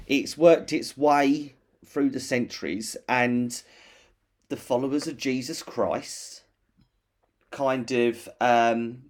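A man's voice: mid-range voice, fairly even intonation, slow pace. A British man co-hosting a casual podcast, chatting with enthusiasm and telling stories. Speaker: podcast co-host. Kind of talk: casual chat.

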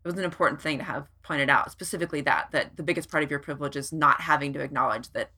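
A woman chats informally as a podcast co-host. Her voice is a little on the low side for a woman, with a bright, forceful edge. She speaks in an easy conversational style. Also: rapid-fire, slightly rough. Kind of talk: casual conversational podcast chat.

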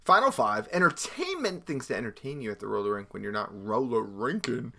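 Laid-back man with a lively voice. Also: mid-range voice, brisk, gravelly. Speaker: trivia co-host. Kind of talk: casual chat reading trivia answers.

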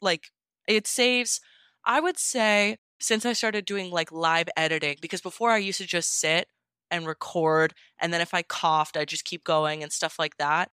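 A woman's voice: on the low side, measured pace, pushed and bright, clean tone, no room sound, clean studio sound. A woman being interviewed, chatting informally and explaining things step by step in a casual way. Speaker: podfic narrator being interviewed.

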